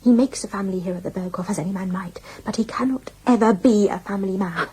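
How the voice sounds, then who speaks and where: An actress playing a proud, defiant young woman with a breathy voice, retorting coolly in a radio drama. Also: medium pitch, fast talker, warm tone. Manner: cool, retorting.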